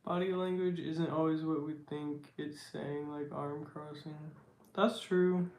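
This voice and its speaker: A young man with a baritone voice, chatting slowly and reflectively on his livestream. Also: flat delivery. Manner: slow, reflective and soft-spoken. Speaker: livestream host. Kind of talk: casual livestream chat reading comments. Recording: roomy echo.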